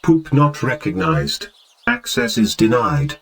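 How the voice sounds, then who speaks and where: A man's voice: medium pitch, very animated, takes it slowly, gravelly, fairly bright tone, heavily acted. A man playing a rigid robot guard in an audio drama, speaking in stiff, formal, clipped commands. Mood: flat, commanding. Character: rigid, officious robot guard made of gears. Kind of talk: scripted audio drama acting.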